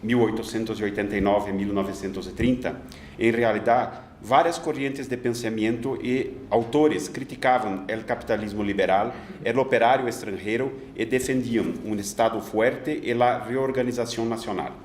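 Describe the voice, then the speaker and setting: A man whose first language is Portuguese, speaking Spanish with a Brazilian Portuguese accent, hesitantly reading an academic conference paper with many pauses and fillers. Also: on the low side, echoey room, a little hiss or hum. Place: conference hall.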